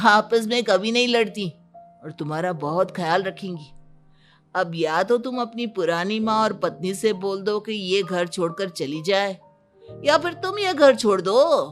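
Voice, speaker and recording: A man's voice: high voice, expressive, measured pace, faint music behind. A man playing a helpful, confident young male friend in a cartoon story, speaking in a reassuring, assured way. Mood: firm.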